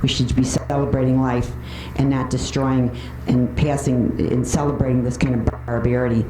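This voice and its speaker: A woman's voice: rumbling low, flat delivery, normal speed. Roomy, distant recording of an opinionated woman speaking. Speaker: public commenter at a county board meeting. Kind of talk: prepared public speech. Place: indoor public meeting room. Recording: roomy, noisy, distant microphone with clicks.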